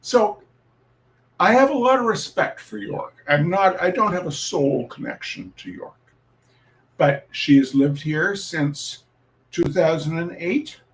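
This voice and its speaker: Guy hosting a reading night, really slow n halting, bit high voiced, wry storyteller type. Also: expressive, vocal fry, rough recording. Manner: deliberate, halting, with dramatic pauses and repetition. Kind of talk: informal spoken introduction with personal storytelling.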